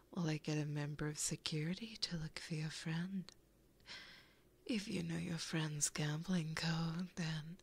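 A woman voicing a flirty, possessive, taunting demon in a breathy whisper for an ASMR roleplay, speaking intimately close to a binaural microphone. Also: on the low side, full character voice. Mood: coaxing, smooth. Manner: slow, teasing, intimate.

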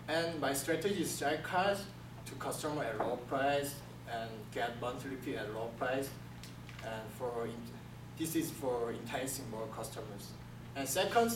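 A young man from South Korea with a Korean accent, giving a class presentation in halting second-language English with many restarts. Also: mid-range voice. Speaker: student presenter. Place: classroom with projector screen. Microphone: far camera. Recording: echoey room from far off, room hiss.

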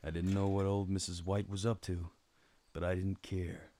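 Guy acting a hardboiled noir detective, dry and wry, low dark voice, radio play. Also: moderate pace, a little hiss or hum, close to an everyday voice. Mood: brooding, wry. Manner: deadpan, hardboiled. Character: world-weary wry male private detective, adult. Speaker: narrator and lead actor. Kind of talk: noir radio drama, scripted first-person narration.

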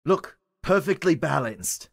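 A man's voice: on the high side, expressive, slow, gravelly, a bit bright, exaggerated voice. A man voicing a nervous, lovesick young blacksmith, hesitant and awkward, in an improvised comedy dub. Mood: nervous, eager.

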